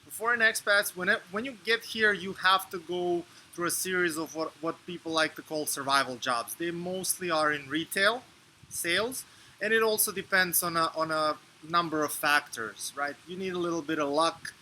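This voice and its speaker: A man's voice: on the high side, pushed and bright, a little hiss or hum, low-quality recording. Serbian-born man answering interview questions. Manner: measured, explanatory, with frequent fillers and restarts. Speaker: interview guest.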